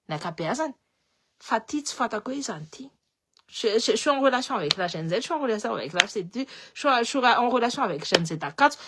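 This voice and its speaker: A woman with a slightly high voice and a light Malagasy accent talks loosely and with energy. She often repeats her words and restarts as her thoughts run on. The delivery is casual, like a live talk to followers. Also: slightly rough. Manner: animated, repeats words, switches between languages. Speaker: political commentator.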